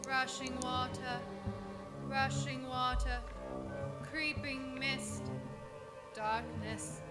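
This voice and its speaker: Casting: eerie young female seer in a trance played by a woman, high, very slow delivery, loud music behind. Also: little pitch movement. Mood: dreamy, eerie. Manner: trance-like, drawn-out. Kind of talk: old-time radio acting.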